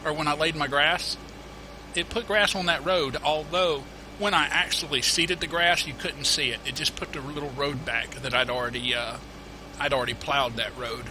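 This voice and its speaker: A man's voice: a little high, fairly even intonation, normal speed, noisy background. A man with a cold, sniffling a little, casually narrating a farming game tutorial, rambling and correcting himself with lots of fillers. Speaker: gameplay tutorial host. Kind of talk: casual let's-play commentary.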